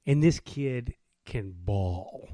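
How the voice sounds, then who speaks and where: An American man around his thirties or forties co-hosting a podcast, telling everyday stories in slangy, animated casual chat. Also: fairly low pitch, very slow, no echo. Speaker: podcast co-host.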